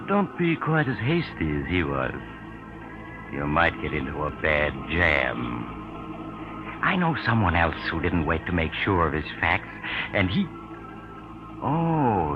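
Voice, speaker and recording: An actor playing a mysterious train traveler, hosting a 1940s radio drama in a wry, genial, insinuating, conversational way. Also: male voice, low-pitched. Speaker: host narrator. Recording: muffled vintage radio recording with music.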